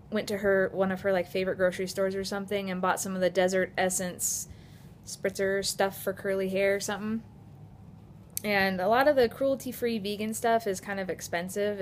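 American woman vlogger with noisy sound. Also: mid-range voice. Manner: informal, conversational, rambling. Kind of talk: casual vlog chat. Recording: clearly noisy phone video sound.

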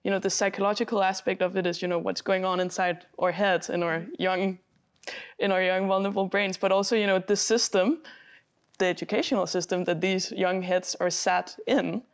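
A woman's voice: medium pitch, steady tone, normal speed. Young woman student, chatty and rambling. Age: young adult. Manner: casual, rambling, lots of "like" and "you know". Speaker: student co-host. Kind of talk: panel discussion. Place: indoor library room with bookshelves.

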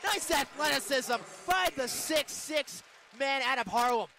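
A man calling basketball play-by-play on the radio, with excitable, punchy calls. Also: extremely high pitch, measured pace. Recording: arena crowd and court noise behind. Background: basketball game in an arena.

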